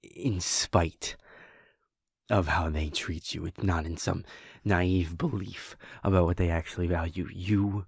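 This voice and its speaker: A man with a low, slightly breathy voice speaks to his partner in a soft, halting way, often trailing off and restarting. He talks slowly and unhurriedly. The sound is dry and close. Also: fairly even intonation, no echo. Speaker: audio roleplay performer. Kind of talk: intimate audio roleplay, speaking to the listener.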